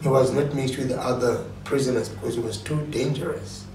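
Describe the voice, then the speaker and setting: A man from South Africa, a tour guide and reggae artist, chatting in a moving car with rhythmic Rasta patois and chanted shout-outs. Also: mid-range voice, brisk. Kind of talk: casual chat mixed with reggae toasting. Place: inside a moving car in a city. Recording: car road noise behind. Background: traffic and engine noise.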